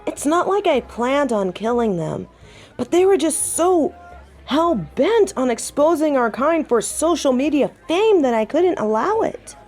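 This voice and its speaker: A woman's voice: high voice, sing-song, measured pace, darkish tone, soft background music. A woman playing a proud, prickly, tipsy werewolf second-in-command in an audio roleplay drama, with a dry, sarcastic drawl. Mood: exasperated.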